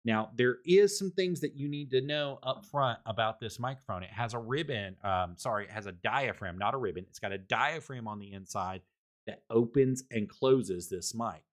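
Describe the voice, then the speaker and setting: A man streaming a casual microphone review from a home setup, talking loudly and rambling with repeated words. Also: mid-range voice, moderate pace. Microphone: desktop condenser microphone.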